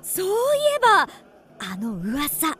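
Casting: actress as gossipy theatre-mad townswoman, very high, animated, period radio drama, music behind. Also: slow, slight background noise, full character voice. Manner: gushing, earthy old-fashioned speech. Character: excitable gossiping Kyoto townswoman, swooning theatre fan.

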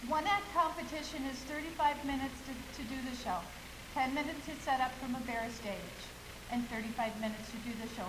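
A middle-aged woman, a director, giving a nervous, halting informal introduction from a stage. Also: high voice. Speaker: play director introducing show. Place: school auditorium stage, far camera. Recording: echoey auditorium with hiss.

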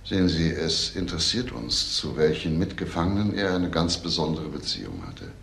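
An actor playing a shrewd senior police inspector in a crime drama, probing and insistent. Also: male voice, rumbling low, very animated, normal speed, vocal fry, fairly bright tone, full character voice. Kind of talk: German TV crime drama acting. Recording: faint background noise.

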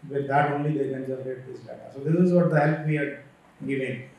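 A middle-aged man with an Indian English accent giving a conference keynote from a podium, emphatic and slowing down to stress figures. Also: mid-range voice, steady tone, a little mellow. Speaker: keynote speaker. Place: large auditorium stage. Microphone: podium microphone, heard from far. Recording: echoey hall from far off.

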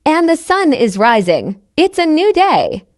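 A woman with a high, lively voice narrates a simple story for language learners. She enunciates each phrase carefully, as a scripted teaching read. The sound is dry and close, without room echo. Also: unhurried. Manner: carefully enunciated, repeats sentences identically. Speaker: narrator of English learning stories.

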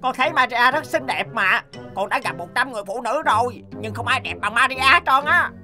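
Woman acting a high-voiced, flattering young cartoon groom. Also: brisk, soft background music. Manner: polite, eager flattery. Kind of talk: animated comedy cartoon dub.

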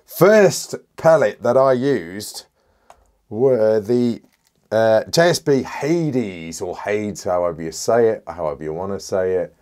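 Slow-talking man with a cold. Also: fairly low pitch, animated, darkish tone. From United Kingdom. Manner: enthusiastic, chatty, informal. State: hoarse from a cold. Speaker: airgun reviewer. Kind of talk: casual product review.

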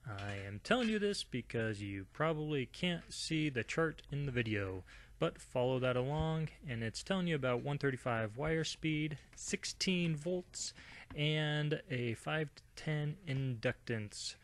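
A man's voice: on the high side, expressive, a little slow. A man narrating a welding machine review and tutorial in an informal, conversational way, as a voice-over. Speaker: DIY product reviewer.

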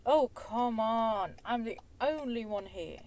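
A woman's voice: fairly high pitch, takes it slowly. Young woman chatting while driving. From Germany. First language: Polish. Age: young adult. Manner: reflective, rambling, with small laughs. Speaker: vlogger. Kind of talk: casual chat while driving. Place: inside a car at night. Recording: voice distant from the mic, loud road noise.

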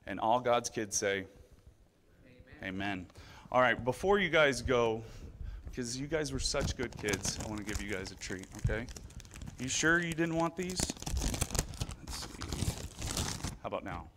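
A man's voice: mid-range voice, animated, a little slow, pauses often, background noise. Man giving a children's sermon in church. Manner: friendly questions to children, many fillers. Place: church sanctuary with pews. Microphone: far camera.

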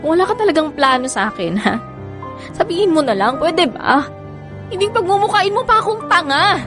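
A woman's voice: very high voice, animated, a little fast, over music. An actress playing a hurt, insistent young mother and mistress in a Filipino radio drama, pleading and confrontational.

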